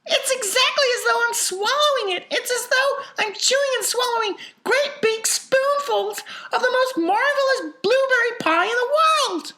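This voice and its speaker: Casting: male reader as greedy, gushing storybook girl, piercingly high, bright delivery, boastful and stubborn. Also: lively intonation, moderate pace, vocal fry, few pauses, echoey room, exaggerated voice. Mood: gloating delight. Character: brash, greedy, stubborn young gum-chewing girl.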